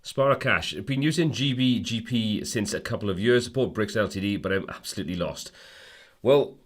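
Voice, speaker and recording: Host type: hoarse man with a cold, lowish voice, casual rambling livestream chat. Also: brisk. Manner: rambling, friendly, many fillers and restarts. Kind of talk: casual livestream chat reading viewer comments.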